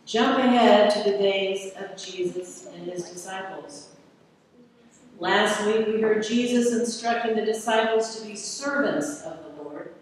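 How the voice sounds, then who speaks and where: A middle-aged woman preacher giving a slow, deliberate sermon from a pulpit, asking rhetorical questions, recorded from the back of a large church. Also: medium pitch, a little hiss or hum. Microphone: far camera at the back of the church. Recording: echoey church hall from far off.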